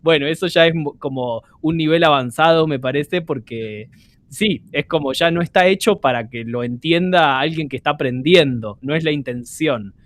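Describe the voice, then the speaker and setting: A man's voice: fairly high pitch, moderate pace. A man hosting a casual podcast, speaking thoughtfully with frequent hesitant fillers. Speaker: podcast host.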